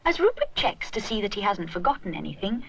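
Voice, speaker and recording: Expressive woman storyteller. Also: medium pitch, fairly quick, vocal fry, husky. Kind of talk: scripted children's story narration.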